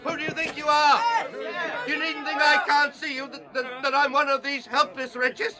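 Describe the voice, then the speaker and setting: An actor playing an angry, defiant agitator who harangues with indignant sarcasm in a radio drama. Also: male voice, extremely high pitch, monotone, moderate pace. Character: angry defiant agitator man championing the helpless blind. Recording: radio drama, noisy street crowd behind.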